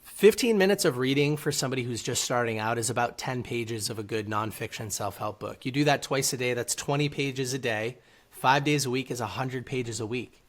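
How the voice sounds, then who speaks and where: A man's voice: mid-range voice, fairly quick. A man speaking as an interview guest, answering conversationally and explaining things step by step. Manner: explains step by step with numbers and examples.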